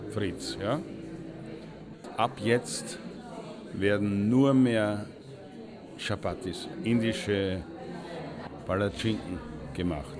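An actor playing a storyteller with an Austrian accent, telling an anecdote deliberately, with pauses, in a radio drama. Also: male voice, fairly low pitch. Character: male storyteller recalling an eccentric old friend.

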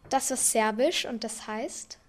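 A woman's voice: a little high, unhurried. Girl hosting a school radio quiz. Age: young teen. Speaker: student quiz presenter.

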